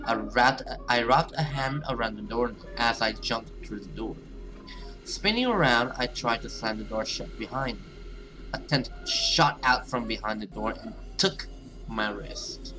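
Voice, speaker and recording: A man's voice: medium pitch, expressive, a little slow, over music, rough recording. A man hosting a gaming video, reading story text aloud dramatically and reacting with casual asides.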